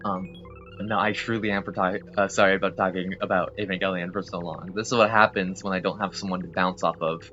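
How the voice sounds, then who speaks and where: A man's voice: low voice, level tone, a little fast, dull audio, over music. A man rambling casually and apologetically while playing a video game, full of fillers and restarts. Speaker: gameplay video host. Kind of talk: rambling casual commentary over gameplay.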